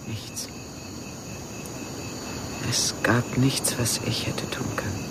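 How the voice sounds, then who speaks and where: An actress playing an intense, clinging widow in a German radio crime drama, with dramatic delivery that moves between breathy whispers and outcries. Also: female voice, rumbling low, fairly even intonation, takes it slowly, background noise. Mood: subdued. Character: Janet, intense, clinging widowed lover, wounded and pleading.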